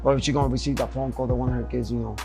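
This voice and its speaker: A man's voice: mid-range voice, fairly even intonation, racing, gravelly, over music. A man from Puerto Rico, a boxer and gym owner, speaking earnestly and candidly in a documentary interview, often adding "you know what I mean".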